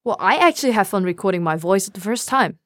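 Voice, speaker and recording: A native Cantonese woman co-hosting a scripted language lesson, with a Cantonese accent, modelling phrases slowly and carefully. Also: medium pitch, animated, no room sound. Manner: careful slow model pronunciation. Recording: dry studio recording.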